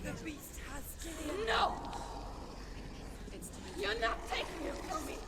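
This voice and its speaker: A defiant, anguished warrior woman from a video game, speaks very high with wide swings in pitch. She is urgent and intense, with loud roaring noise around her. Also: sing-song, slow, a loud crowd, heavily acted. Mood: desperate, defiant. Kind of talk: dramatic video game acting.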